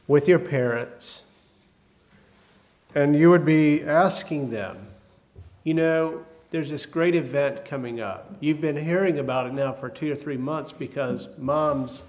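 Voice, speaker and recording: A man delivers a church sermon in a folksy, unhurried manner, pausing often with fillers. His voice is hoarse from a cold, a little crackly at times. His pitch sits in the middle for a man. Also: darkish tone. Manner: folksy, hesitant with many fillers. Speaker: preacher.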